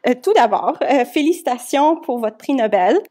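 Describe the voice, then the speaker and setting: A woman's voice: high voice, animated, moderate pace, mellow. A woman conducting a polite telephone interview in a courteous, formal and slightly hesitant manner.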